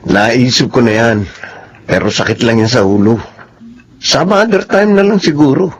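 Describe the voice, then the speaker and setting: An actor in a Filipino radio drama playing a busy, exasperated and dismissive production manager. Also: male voice, mid-range voice, animated, moderate pace, natural voice. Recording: dull radio sound, faint noise.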